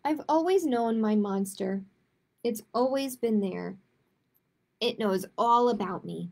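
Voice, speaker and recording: A woman hosting a children's storytime, reading picture books aloud and chatting to families in an expressive way. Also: on the high side, slow pace, vocal fry. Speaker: children's librarian, storytime host. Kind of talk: picture book read-aloud and chatty announcements to young families.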